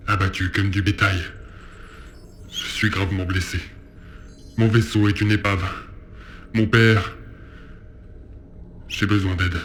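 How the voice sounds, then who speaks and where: Slow, wounded space marine lieutenant. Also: male voice, fairly low pitch, fairly bright tone, a little hiss or hum. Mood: bitter, pained. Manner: slow, solemn, halting delivery. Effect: mechanical helmet radio filter. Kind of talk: audio drama distress message.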